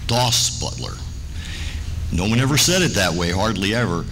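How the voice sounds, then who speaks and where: A man's voice: on the low side, expressive, normal speed, fairly bright tone. A man presenting an informal, anecdotal slide-show lecture to a room, speaking as a presenter and biographer. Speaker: presenter, biographer of a cartoon voice actor. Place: hotel function room with projector screen. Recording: noisy room recording with ambience.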